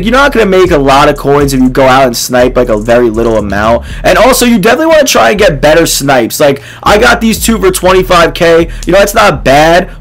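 A young man in his twenties with a hoarse, nearly gone voice, casually commenting on a game to his viewers in a small dark room. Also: a little high, poor audio. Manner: laid-back, filler-heavy, addresses viewers as boys. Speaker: gaming YouTuber.

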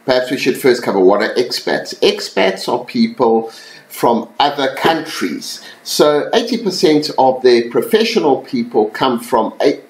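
A man giving a recorded slide lecture to students, relaxed and conversational, with frequent ums and self-corrections. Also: medium pitch, poor audio.

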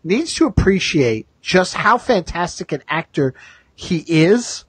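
A man hosts a casual fan podcast with emphatic, opinionated delivery. His voice is rough-edged and very expressive, recorded close and dry. He often repeats phrases as he builds a point. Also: sits high, slow pace, no room sound. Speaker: podcast host.

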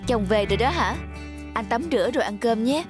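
Casting: actress as soft-spoken, tired young mum in an animated family story, music underneath. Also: female voice, on the high side, a little fast, close to an everyday voice. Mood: affectionate. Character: soft, weary young wife and new mother. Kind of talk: cartoon voice acting.

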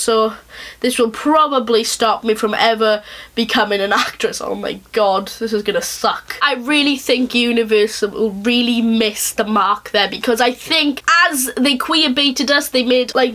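A young woman with a thick Welsh accent, ranting casually to camera in her bedroom, fast and chatty with lots of fillers. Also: fairly high pitch, a bit bright. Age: young adult. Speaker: vlogger. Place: small bedroom, indoors.

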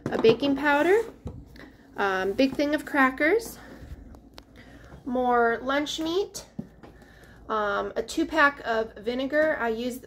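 Young mom, slightly high voice, chatty home vlog on a handheld camera. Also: female voice, slight background noise. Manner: chatty, loses words, trails off. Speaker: mother of a large family, vlogger. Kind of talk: casual grocery haul walkthrough. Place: indoors at home, dining room and pantry. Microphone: handheld camera held at chest height.

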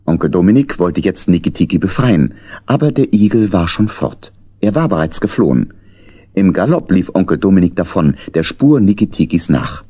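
A man with a very low, husky voice narrates a children's audio play. His delivery is deliberate and animated, with plenty of movement in the pitch. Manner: storytelling, deliberate.

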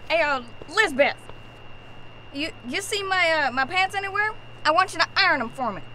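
A woman's voice: very high-pitched, expressive, moderate pace, sharp tone, exaggerated voice, low-quality recording. A woman playing a spoiled, dim young man of the manor, petulant and childish, in an improvised radio play. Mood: demanding, petulant. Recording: faint background noise.

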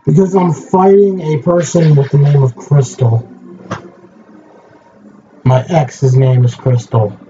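A man's voice: fairly high pitch, steady tone, takes it slowly, long pauses, dark tone, husky, lo-fi sound. A man chiming in with dry, sarcastic asides while a friend plays a game. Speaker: co-host friend. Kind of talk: casual chat.

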